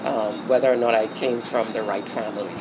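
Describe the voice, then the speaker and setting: A Bahamian man giving an interview, speaking reflectively with many pauses and restarts. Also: on the low side, over music, low-quality recording. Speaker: interview guest. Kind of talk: casual interview talk. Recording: steady background noise.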